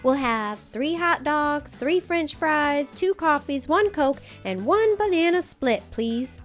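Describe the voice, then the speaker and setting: A woman's voice: sits high, expressive, slow pace, faint music behind, pitched above the performer's own voice. A woman voicing an eager, earnest little girl in a children's storybook, childlike and emphatic. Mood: proud, eager.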